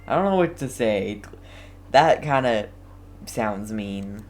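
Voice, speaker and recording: Very low-voiced woman streaming a game. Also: expressive, takes it slowly, darkish tone. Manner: informal, slangy reactions. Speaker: streamer playing and reacting to a game. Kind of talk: casual playthrough commentary.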